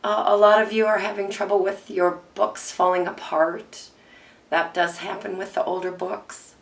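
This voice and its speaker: A hoarse woman with a froggy voice hosting a casual crafting tutorial, chatting and thinking aloud with ums as she works. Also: mid-range voice, little pitch movement, moderate pace. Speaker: craft video host.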